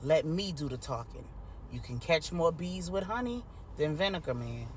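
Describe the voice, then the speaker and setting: Woman voicing a low, firm male boss. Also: lively intonation, normal speed, darkish tone, a little hiss or hum. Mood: calm. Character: firm, measured male boss laying down the law.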